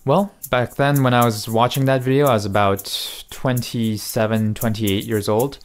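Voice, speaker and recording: A man in his thirties talking frankly and self-mockingly to camera in a small room at night, into a mic on a boom. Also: steady tone, normal speed. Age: 30s. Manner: frank, self-deprecating, conversational. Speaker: vlogger. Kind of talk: candid confessional monologue. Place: dim small bedroom at night. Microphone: studio mic on boom in front.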